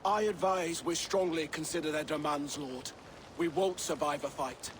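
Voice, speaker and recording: A male actor as a proud, cautious warrior in a medieval game drama. He speaks formally and with restraint, slightly high, over faint outdoor noise. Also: normal speed, natural voice. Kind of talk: dramatic game cutscene acting.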